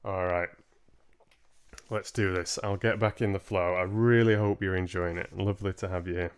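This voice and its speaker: A man's voice: sits low, steady tone, normal speed, clear, resonant tone. A man in his twenties chatting casually as he hosts a live-streamed book reading from a room at home. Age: 20s. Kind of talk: casual livestream chat and reading aloud. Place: small home room, indoors.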